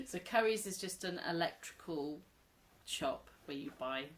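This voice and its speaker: Slow-talking mum, a bit croaky. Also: female voice, on the low side, sing-song, fairly bright tone, slight background noise. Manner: chatty, rambling, laughs often. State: slightly croaky from a cold. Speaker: crochet vlogger, mother. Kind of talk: casual show-and-tell chat. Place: at home.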